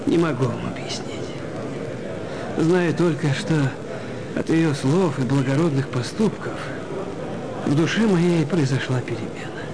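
An actor playing a meek former drunkard turned humble clerk, deferential and emotional in a theatrical radio play, with music behind him. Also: male voice, a little high, measured pace, long pauses, a crowd in the background, poor audio. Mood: heartfelt, confiding. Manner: deferential, old-fashioned servile politeness, emotional storytelling. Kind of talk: theatrical radio play acting of classic literature.

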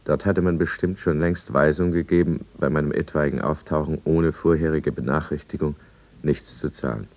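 A brooding drunkard narrating an old German radio play in the first person. His voice is extremely deep. The delivery is deliberate and confessional. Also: fairly even intonation, a little mellow. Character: brooding male drunkard recounting his own downfall. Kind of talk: scripted radio drama narration, 1950s.

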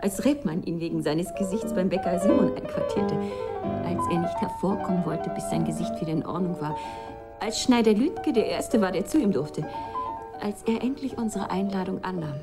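A woman's voice: fairly low pitch, moderate pace, close to an everyday voice. An actress playing a sociable, mocking society lady in a German radio drama, chatty and theatrical. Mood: amused gossip. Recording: loud music behind the voice. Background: music.

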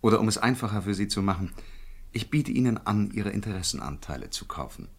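Smooth gang boss around forty, low pitch, husky tone, genial, calculating, courteous, radio crime drama. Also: male voice, lively intonation, quick pace. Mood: calculating, persuasive. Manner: polite, genial, persuasive.

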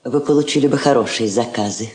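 A woman's voice: very deep voice, quick pace, a little mellow. An actress playing a poised, witty Soviet woman ambassador in a radio play, speaking articulately with diplomatic irony. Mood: poised, wry. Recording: roomy old radio drama, music behind.

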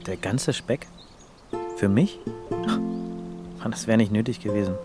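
An actor playing a grumpy, melancholy, burnt-out poet in an audio drama, curt and weary. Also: male voice, lowish voice, slow pace, loud music behind the voice. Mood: weary, irritable. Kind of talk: audio drama dialogue acting.

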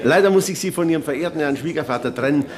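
A man voicing a jovial, courteous gentleman at a reception. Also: a little high, rapid-fire, a crowd in the background, voice pushed up, natural voice. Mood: hearty. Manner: hearty, courteous. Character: Hellenberger, jovial old friend.

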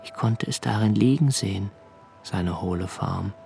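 Anxious morbid young writer, lowish voice, intense deliberate radio play monologue, music underneath. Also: male voice, vocal fry, warm tone. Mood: haunted, fearful. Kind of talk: literary radio drama monologue.

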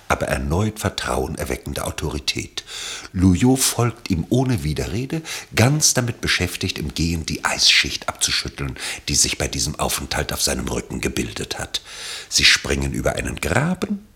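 Narrator for radio drama: male, low and heavily breathy-rough, measured scripted storytelling with a dry irony. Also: sing-song, bright, forceful tone.

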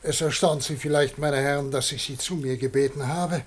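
An actor playing an authoritative president of a future world at war, speaking formally in a 1960s German radio drama. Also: male voice, fairly high pitch, steady tone, moderate pace, slightly rough. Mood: grave, resolute. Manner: formal, commanding.

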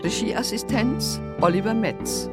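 A woman announcer reading scripted credits in a measured way. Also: medium pitch. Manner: slow, measured. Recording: loud guitar music behind.

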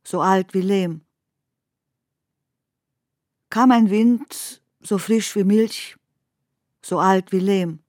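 A woman reciting literary poetry for a radio play in measured, deliberate phrases. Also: mid-range voice, a little mellow, dry recording, studio quality.

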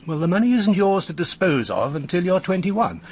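An actor playing a genial, shrewd older English solicitor in a radio drama, measured and courteous in manner. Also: male voice, high voice, sing-song, a little mellow, slightly rough, exaggerated voice.

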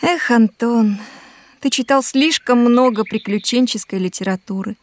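Casting: actress for concerned, sensible woman, slightly high voice, pleading and mildly reproachful; audio drama. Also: normal speed, no echo, lightly acted. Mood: earnest, dissuading. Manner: earnest, gently reproachful. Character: caring, sensible woman pleading with a restless man.

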